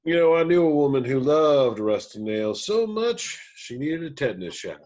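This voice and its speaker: A man reading a gruff, stubborn, hard-drinking country bandleader with folksy Southern phrasing in a screenplay table read. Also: a little high, very animated, normal speed, a little mellow. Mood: wry, regretful. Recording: slightly dull, lo-fi sound.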